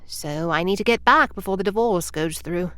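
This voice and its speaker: A woman's voice: on the low side, moderate pace, fairly bright tone, clean tone, no echo, lightly acted. A woman playing a prim, rule-following time traveler near retirement who gets irritated easily, in an audio drama. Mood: earnest. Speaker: senior time travel agent.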